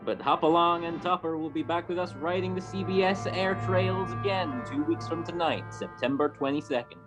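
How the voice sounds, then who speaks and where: An actor playing a formal, upbeat 1950s network radio announcer reading scripted promotional introductions. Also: male voice, fairly high pitch, moderate pace, natural voice. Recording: guitar music behind voice.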